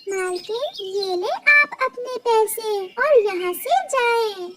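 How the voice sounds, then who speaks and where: Woman as a very high, sweet little girl bird. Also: lively intonation, slow pace, a bit bright, exaggerated voice. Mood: firm. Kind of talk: children's cartoon dubbing.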